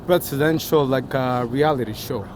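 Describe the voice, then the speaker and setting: A man from Rico, answering questions on a city street at night in a casual, halting, plain-spoken way. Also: medium pitch, slight background noise. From Puerto Rico. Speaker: passerby interviewee.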